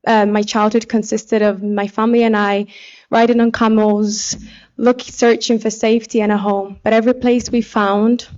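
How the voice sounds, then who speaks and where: A young woman from Somalia giving a personal testimony speech as an activist, speaking in an earnest, deliberate way. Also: fairly high pitch, darkish tone, lo-fi sound. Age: young adult. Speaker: pageant contestant and activist speaker.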